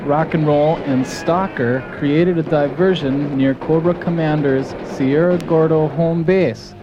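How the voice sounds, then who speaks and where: A man's voice: a little high, fairly even intonation, a little slow, highs cut off, background noise, natural voice. A man playing a folksy, gung-ho soldier who narrates his team's mission in a scripted audio drama. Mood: earnest storytelling. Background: music.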